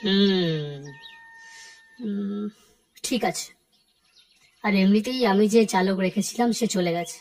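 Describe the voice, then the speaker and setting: A woman's voice: medium pitch, takes it slowly, long pauses, darkish tone. A woman voicing a stern, indignant male village landlord in a cartoon, with a scolding, authoritative manner. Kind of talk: cartoon character acting.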